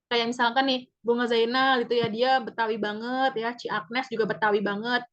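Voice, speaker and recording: A woman, a public speaking trainer giving a chatty, upbeat webinar pitch and repeating key points. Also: flat delivery, brisk. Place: online webinar. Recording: dull lo-fi webinar audio.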